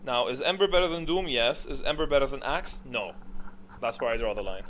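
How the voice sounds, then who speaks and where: A man's voice: medium pitch, fairly quick, fairly bright tone, slight background noise. A man giving casual, unscripted ranking commentary, thinking aloud in an opinionated way. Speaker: video host.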